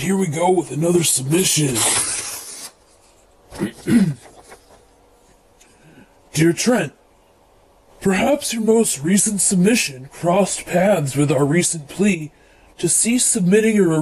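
A man's voice: high-pitched, a little mellow, full character voice. A man playing an overly eager, irreverent worship leader in a comedic radio sketch, reading letters aloud with exasperated asides. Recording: clearly noisy background ambience.

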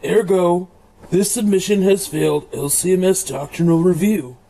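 A man's voice: sits high, moderate pace, creaky, mellow, rough recording. A man playing an overly eager, irreverent worship leader with a slangy, upbeat manner in a comedy radio sketch. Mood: reading aloud. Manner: slangy, upbeat, reads letters aloud.

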